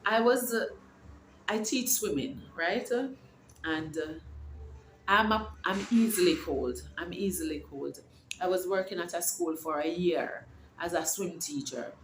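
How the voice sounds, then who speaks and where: A woman's voice: lowish voice, unhurried, a little hiss or hum. A middle-aged woman vlogging from home, talking in a casual, encouraging, preachy way and rambling with restarts. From Jamaica. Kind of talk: casual faith-filled vlog. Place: her home. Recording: echoey room with faint ambience.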